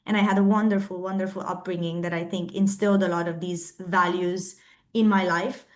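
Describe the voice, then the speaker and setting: A woman's voice: lowish voice, steady tone. Young romanian-born woman chatting to students on a video call, earnest, lots of ums. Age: young adult. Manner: earnest, thoughtful, often pauses with "um". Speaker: webinar speaker. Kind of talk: informal talk to students.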